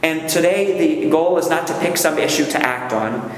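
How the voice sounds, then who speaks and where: A man's voice: a little high, normal speed. A man, a rabbi, leading a workshop and talking conversationally to a gathering, often checking in with the listeners. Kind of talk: speech to a gathering. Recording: echoey hall, faint room noise.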